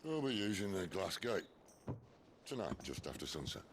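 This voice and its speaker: An actor playing a wry, defiant middle-aged outlaw leader in a video game, with a gravelly, theatrical drawl. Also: male voice, low voice, sing-song, normal speed, background noise. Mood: wry, teasing. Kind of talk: video game cutscene acting. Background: music.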